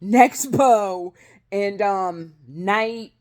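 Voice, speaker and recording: A woman's voice: mid-range voice, very slow. A woman vlogging in a casual, rambling way, swearing freely, laughing often and restarting her sentences. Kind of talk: casual rambling vlog chat.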